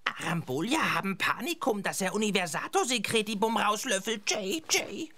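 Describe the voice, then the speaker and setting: An excitable little owl creature from a children's radio play, with a bright, sharp, high voice. It swoops about in pitch and talks in quirky broken grammar with made-up words. There is light noise behind it. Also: female voice, moderate pace. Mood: alarmed, secretive. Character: excitable little blue owl comforter talking gibberish mishmash. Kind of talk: children's audio drama acting.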